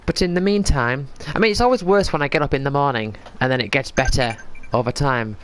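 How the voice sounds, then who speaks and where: A man's voice: mid-range voice, brisk, a little hiss or hum. A man with a cold, chatting casually while streaming a game and thinking aloud as he plays. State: has a cold. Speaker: livestreamer playing a game. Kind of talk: casual gameplay chat.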